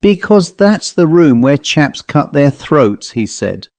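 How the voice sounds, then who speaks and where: A man's voice: a little high, big pitch swings, takes it slowly, darkish tone, no echo, exaggerated voice. Man doing a seasoned old-hand salesman, ominous and short with people, dry ghost story audiobook. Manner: curt, ominous.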